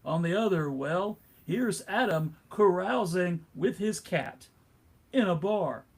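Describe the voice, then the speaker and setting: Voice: medium pitch, lively intonation, takes it slowly, husky. A narrator reading scripted comedic narration in a wry, theatrical manner.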